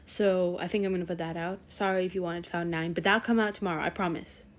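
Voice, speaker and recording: A woman's voice: fairly low pitch, fairly even intonation, quick pace. A teenage girl hosting her own channel, chatting casually to viewers in a rambling way with lots of fillers and self-corrections. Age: teens. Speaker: fanfiction channel host.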